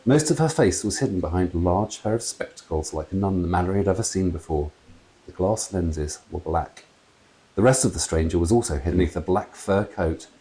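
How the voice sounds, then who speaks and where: A man in his fifties reading a children's story aloud in an expressive storytelling style. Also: low voice, fairly quick, poor audio. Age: 50s. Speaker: author reading own book aloud. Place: small room with wooden door and brick wall. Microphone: clip-on lapel mic.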